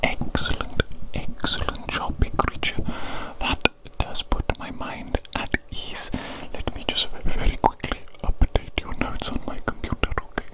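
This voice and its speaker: Female ASMR performer as a careful, attentive doctor. Breathy whisper throughout, very unhurried, softly repetitive. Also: rough recording. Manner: slow, deliberate, repeats words and phrases softly. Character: attentive, meticulous doctor examining a patient. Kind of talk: whispered ASMR medical roleplay.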